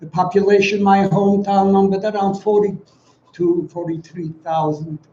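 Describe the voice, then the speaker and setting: A man of about ninety with a Polish accent gives a prepared commemorative speech in a solemn, deliberate, formal way, with emphatic pauses. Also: sits high, level tone, warm tone. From Poland. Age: around 90. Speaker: guest speaker, Holocaust survivor giving testimony. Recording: echoey, dull, distant, faint noise.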